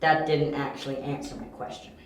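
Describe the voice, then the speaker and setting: Young detective, male actor: analytical, cocky, dryly clipped, fairly high voice, amateur audio drama, distant from mic. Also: level tone, fairly quick, lightly acted. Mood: dry, defiant. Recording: very echoey, distant, with faint hiss.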